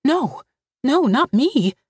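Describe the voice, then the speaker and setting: A woman's voice: big pitch swings, moderate pace, breathy edge, dry recording, studio quality, heavily acted. A woman voicing a frightened pleading young girl. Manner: high, pleading, breathless.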